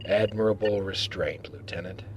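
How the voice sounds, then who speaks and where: A man's voice: fairly low pitch, steady tone, normal speed, slight background noise, natural voice. A man playing a stern, wry acting starship captain in a science-fiction audio drama, with a dry, commanding manner. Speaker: voice actor in fan audio drama.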